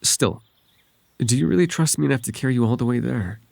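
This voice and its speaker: A man voicing a gentle, devoted and bashful winged fairy in an ASMR roleplay, speaking tenderly and hesitantly in formal, old-fashioned phrases. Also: mid-range voice, a little fast, creaky, no echo, lightly acted. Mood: tender, unsure. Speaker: voice actor.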